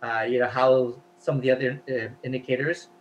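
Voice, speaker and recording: A man's voice: medium pitch, steady tone, brisk, slight background noise, poor audio. A man whose first language is Cantonese, chatting as an interview guest and explaining at length with many fillers. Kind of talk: casual interview talk.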